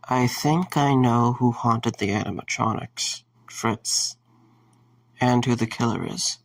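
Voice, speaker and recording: A man playing a wry, concerned young man in an audio drama, speaking in a low-key, halting way. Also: gravelly, low-quality recording. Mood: grave, determined.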